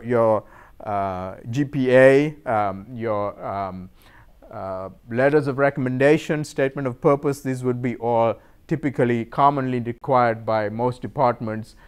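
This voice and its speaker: A middle-aged man from Sri Lanka, a university professor, giving an informal lecture in a room. He speaks in a measured, hesitant way, with many fillers and repeated words. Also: mid-range voice, smooth. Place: lecture room in a cultural center.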